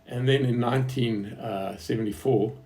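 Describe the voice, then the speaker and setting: A man's voice: medium pitch, fairly even intonation, mellow. A man in his seventies from Zimbabwe, with a Southern African accent, telling his life story off the cuff in a hesitant, reflective way. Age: 70s. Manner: hesitant, reflective, halting phrasing. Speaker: businessman recounting his life. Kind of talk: unscripted autobiographical storytelling to an interviewer.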